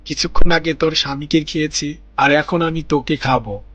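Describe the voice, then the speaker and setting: A man plays a sly, wicked old fox from a children's cartoon. He talks in a mocking, menacing way, pitched a little high, over faint music. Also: normal speed, sharp tone, lo-fi sound. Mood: menacing, gloating. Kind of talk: children's moral-story cartoon dialogue.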